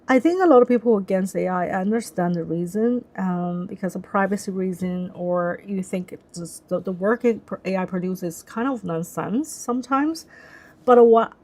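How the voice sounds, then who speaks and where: Woman, young adult, Chinese native speaker, middle pitch, live-stream host, thoughtful, restarts often. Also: normal speed, darkish tone. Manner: thoughtful, searching for words, frequent restarts. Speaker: livestream host. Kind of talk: casual live-stream chat.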